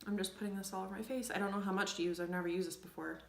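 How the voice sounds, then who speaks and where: A young woman with a sniffly, cold-stuffed voice and plenty of gravelly fry talks casually through a makeup routine. She rambles with lots of fillers and slips into soft near-whispers. The sound is roomy and echoey. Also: mid-range voice, fairly even intonation, very fast, a little hiss or hum. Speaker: beauty vlogger. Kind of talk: casual makeup tutorial chat. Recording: echoey room.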